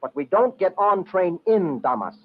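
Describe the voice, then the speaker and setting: An actor playing a brash, wisecracking American adventurer, slangy and clipped, in an old-time radio drama. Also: male voice, high-pitched, very animated, moderate pace. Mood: insistent. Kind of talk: old-time radio adventure acting with first-person narration. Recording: dull, hissy old radio recording.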